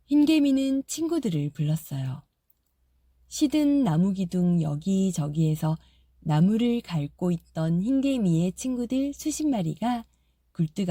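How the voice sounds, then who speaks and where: A woman narrating a fairy-tale audiobook in a soft, gentle storytelling manner. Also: medium pitch, dry recording, studio quality.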